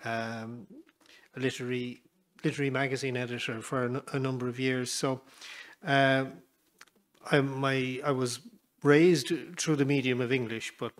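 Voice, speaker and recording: Flat-voiced man, hesitant. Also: medium pitch. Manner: hesitant, with fillers. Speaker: poet on a panel. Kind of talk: panel self-introduction. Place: large hall with audience.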